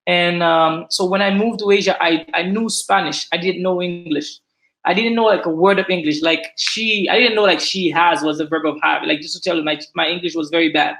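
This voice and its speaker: A man's voice: sits high, fairly even intonation, brisk, fairly bright tone, low-quality recording. A man in his thirties from Haiti with a Haitian accent, chatting casually as a guest and often repeating words as he starts a thought. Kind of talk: casual video-call interview.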